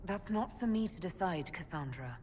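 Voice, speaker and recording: A woman playing a low, wry fantasy heroine. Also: animated, normal speed, darkish tone, natural voice. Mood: dry, calm. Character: cool, wry adventuring heroine, probing and composed. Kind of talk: fantasy game dialogue acting. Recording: reverberant hall with faint ambient noise.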